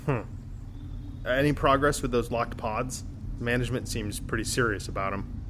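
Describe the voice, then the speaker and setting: A man's voice: medium pitch, moderate pace, noisy background, close to an everyday voice. A man playing an easygoing spaceship crewmate, speaking in casual, terse lines in a science fiction audio drama. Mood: unbothered. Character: laid-back, easygoing male spaceship crewmate.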